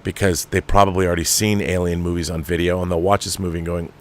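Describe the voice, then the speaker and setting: A man's voice: low-pitched, flat delivery, fast talker. A man delivering an unscripted film rant as a show host, emphatic, exasperated and profane, repeating phrases for emphasis. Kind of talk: ranting film commentary, unscripted.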